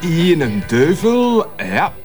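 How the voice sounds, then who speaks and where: An actor playing a nervous, slow-witted student waiter in a comic radio drama, eager and uncertain. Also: male voice, fairly high pitch, sing-song, darkish tone, soft background music. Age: young adult.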